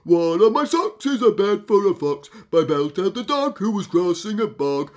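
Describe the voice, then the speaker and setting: Woman playing a booming, sing-song storybook giant. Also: big pitch swings, moderate pace, darkish tone, lightly acted. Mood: jolly singing. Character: kind, cheerful giant who sings to himself. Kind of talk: children's storybook character voice.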